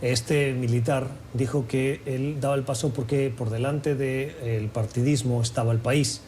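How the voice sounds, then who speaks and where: A man hosting a broadcast news panel, presenting and putting questions in measured, formal phrasing. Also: medium pitch, steady tone, a little mellow, slight background noise. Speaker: host of a news panel show.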